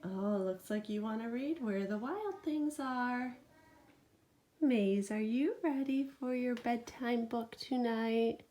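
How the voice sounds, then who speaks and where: Woman, higher-pitched, very unhurried, dramatic bedtime read-aloud, storyteller addressing a young listener. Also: dark tone. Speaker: teacher reading a bedtime story. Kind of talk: expressive read-aloud of a children's picture book.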